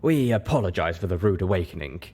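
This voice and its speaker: A man acts a hooded storybook unicorn gentleman with a lowish voice. He is refined, polite and formal, keeping an air of mystery. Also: animated, quick pace, husky. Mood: polite, measured. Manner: refined, formal, not too haughty.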